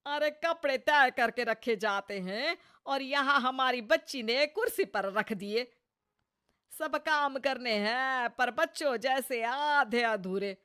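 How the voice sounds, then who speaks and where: A woman's voice: high voice, takes it slowly, a bit bright, clear, resonant tone. A woman voicing a scolding, sarcastic mother-in-law in her sixties for an acted moral story. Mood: nagging. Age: 60s. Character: scolding, nagging sixty-year-old Indian mother-in-law.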